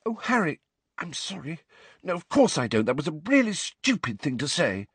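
A man's voice: high-pitched, huge pitch swings, moderate pace, a bit bright, breathy edge, dry recording, voice pushed up, exaggerated voice. A man voicing an earnest, bookish teenage girl who speaks in an emphatic, impatient, insistent way. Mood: apologetic.

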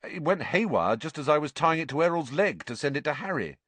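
A man performs an excitable teenage wizard boy from a children's storybook. The voice sits above a grown man's and swoops freely, with an eager, blunt manner. It is recorded close and dry. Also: fairly high pitch, fairly quick, no room sound, voice pushed up. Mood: defensive. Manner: eager, off-hand, dramatic.

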